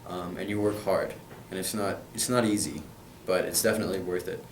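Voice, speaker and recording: A man's voice: sits low, steady tone, a little fast, reverberant, far from the mic, slight background noise, rough recording. A young man from Kentucky answering interview questions about his school in an earnest way, with plenty of hesitant fillers. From Kentucky, USA.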